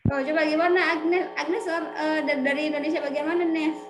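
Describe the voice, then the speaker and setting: A woman with a high voice teaches an online lecture over a video call. She keeps checking that students follow and prods them with questions. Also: steady tone, a little fast, background noise. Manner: questioning, prompts students with frequent tag questions. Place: home, online class.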